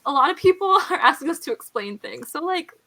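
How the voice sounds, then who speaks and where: A young-sounding, high-pitched woman leads a casual group book chat. Her tone is bright and forward, with a giggly, animated way of reacting. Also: brisk. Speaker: live book discussion host.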